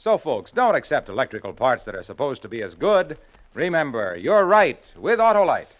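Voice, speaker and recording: A man's voice: medium pitch, very animated, normal speed. A man announcing and reading sponsor copy on a 1940s radio broadcast, polished and buoyant. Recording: dull old radio broadcast with music.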